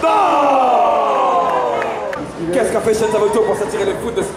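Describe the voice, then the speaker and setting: A man doing excited live commentary on a wrestling match, shouting and exclaiming. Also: high voice, normal speed, distant mic, heavy background noise. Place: indoor convention wrestling show. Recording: echoey hall, loud crowd and music.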